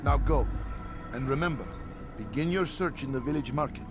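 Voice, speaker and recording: An actor playing a dutiful, patient assassin instructor in a video game, formal and measured. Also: male voice, mid-range voice, lightly acted. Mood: patient, firm. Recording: loud music behind the voice. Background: music.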